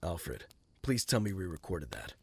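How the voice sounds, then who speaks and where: An actor playing a brooding adult vigilante who speaks in a terse, guarded way over music. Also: male voice, sits low, very animated, normal speed, a little mellow, slight background noise. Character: brooding grown male vigilante.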